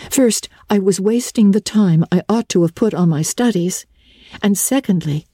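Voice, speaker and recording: A woman's voice: mid-range voice, mellow, breathy edge, dry recording, studio quality. A woman voicing an earnest, talkative thirteen-year-old girl in a dramatic storybook reading, with breathless, solemnly emphatic delivery. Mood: ashamed, dramatic.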